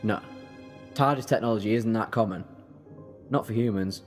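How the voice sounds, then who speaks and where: A man playing an eccentric, quick and cheeky alien time traveller in a fan sci-fi audio drama, with a chatty, flippant manner. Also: lowish voice, soft background music, close to an everyday voice.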